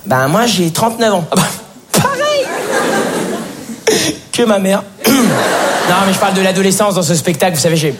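A nineteen-year-old man doing a stand-up routine on stage, animated and talking to the audience while acting out voices. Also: sits high, moderate pace, long pauses. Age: teens. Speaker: stand-up comedian. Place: stage before a live audience. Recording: live stage, crowd and noise.

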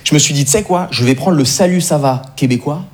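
A young Swiss man doing a stand-up set, animated and acting out the voices he quotes. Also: on the high side, quick pace. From Switzerland. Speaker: stand-up comedian. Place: comedy club stage.

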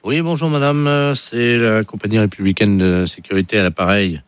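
A man making a prank phone call posing as police, halting and hesitant. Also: on the low side, steady tone, clean tone, dry recording.

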